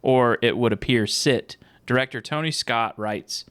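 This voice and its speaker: A man's voice: medium pitch, measured pace, a bit bright, clear, resonant tone. Laid-back man hosting a movie podcast. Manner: laid-back, steers the talk and reads critics' quotes aloud. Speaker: podcast co-host. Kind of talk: casual movie podcast chat, reading review quotes.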